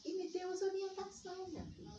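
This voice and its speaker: An older woman with a Minas Gerais accent, telling personal stories in conversation and acting out remembered dialogue in an animated way. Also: very high voice, fairly quick. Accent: Brazilian Portuguese from Minas Gerais. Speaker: interviewee, community organizer. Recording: echoey room, distant mic, dull, faint noise.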